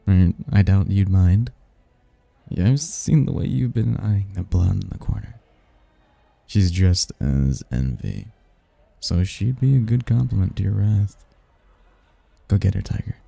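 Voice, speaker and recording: Man playing a deep, gravelly, seductive male demon. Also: lively intonation, mellow, no echo, heavily acted. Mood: teasing, sly. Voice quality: low, gravelly, husky. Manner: smooth-talking, teasing, slow and seductive. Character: suave, flirtatious male demon at a masquerade ball. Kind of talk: ASMR roleplay acting.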